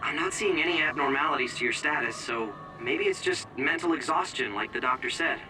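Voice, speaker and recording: A man voicing an alert, reassuring young mission operator in a video game, crisp and businesslike. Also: fairly high pitch, animated, brisk, music in the background, close to an everyday voice, rough recording. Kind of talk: video game cutscene acting.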